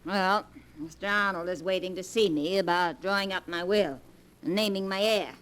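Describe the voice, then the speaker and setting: An actress playing an elderly, coolly mocking, vengeful grandmother in 1940s radio style, deliberate and sardonic. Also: fairly low pitch, fairly bright tone, a little hiss or hum, close to an everyday voice, low-quality recording. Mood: dryly knowing.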